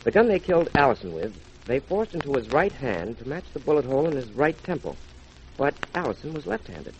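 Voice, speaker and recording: Confident vintage radio sleuth, mid-range voice, deliberate and explanatory, dulled old broadcast. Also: male voice, mid-range voice, sing-song, a little mellow. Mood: assured. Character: sharp, self-assured male detective explaining how he solved it. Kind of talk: 1940s radio crime drama acting. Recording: muffled old radio recording, faint hiss.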